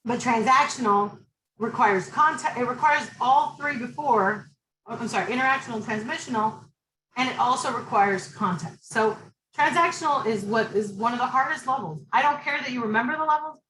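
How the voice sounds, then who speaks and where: A middle-aged woman teaching a college class, talking loudly and directly in a chatty way. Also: on the high side, a little fast, echoey room, a little hiss or hum, poor audio. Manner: direct, talkative, loud. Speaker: college instructor. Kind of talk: online class lecture.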